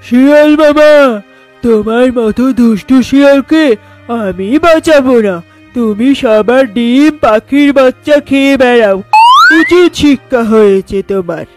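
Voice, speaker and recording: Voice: mid-range voice, animated, unhurried, darkish tone, faint music behind, lightly acted. A performer voicing a small, principled cartoon mouse who admonishes and moralizes. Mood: scolding. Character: small scolding, principled mouse, nephew to the fox.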